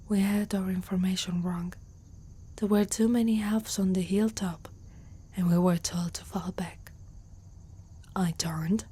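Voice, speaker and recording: A woman from Italy playing an older, protective female centaur warrior haunted by loss, in a soft, slow, breathy whisper for an ASMR roleplay. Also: lowish voice. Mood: grieving.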